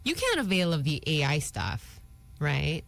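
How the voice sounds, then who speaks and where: A woman's voice: deep voice, wildly swooping pitch, measured pace, gravelly. A woman co-hosting a casual morning radio show, conversational and agreeable, in with some Tagalog. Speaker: radio co-host.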